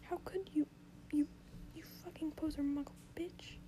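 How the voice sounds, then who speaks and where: A woman voicing a furious teenage vampire boy in a hushed, whispered shout. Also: high-pitched, steady tone, normal speed, slight background noise, close to an everyday voice. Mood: angry.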